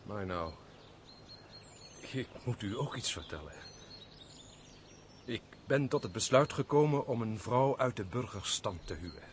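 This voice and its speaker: A man acting an eager, insistent gentleman in a radio play, speaking formally and emphatically in old-fashioned theatrical Dutch style. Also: mid-range voice, lively intonation, unhurried, pauses often, slight background noise, natural voice. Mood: confiding. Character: hearty, insistent gentleman friend, eager suitor.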